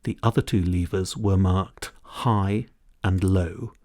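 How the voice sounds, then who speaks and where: A man reading a children's storybook aloud in expressive, scripted narration. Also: low voice, slow pace, no room sound, studio quality. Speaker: audiobook narrator.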